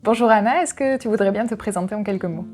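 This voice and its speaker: Une femme qui mène l'interview et pose ses questions sur un ton familier, en tutoyant son invitée. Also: female voice, medium pitch, fast, a little mellow, faint music behind. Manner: familiar, addresses guest informally. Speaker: interviewer. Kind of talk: friendly interview questions.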